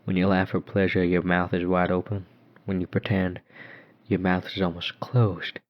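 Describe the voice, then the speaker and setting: A man's voice: low-pitched, little pitch movement, normal speed. A man narrating a horror story dramatically in the first person. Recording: dull muffled lo-fi recording.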